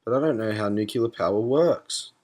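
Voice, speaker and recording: A man's voice: fairly low pitch, normal speed, a little mellow, close to an everyday voice. A man playing a naive, anxious and questioning spaceship captain in an educational cartoon. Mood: curious. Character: clueless, anxious male spaceship captain, curious learner. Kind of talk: scripted animated educational dialogue.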